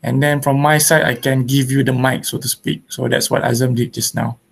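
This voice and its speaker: A man's voice: mid-range voice, fairly even intonation, a little fast, vocal fry, breathy edge. A man casually hosting an online meetup over a video call. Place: indoors at home on a video call. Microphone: video call microphone.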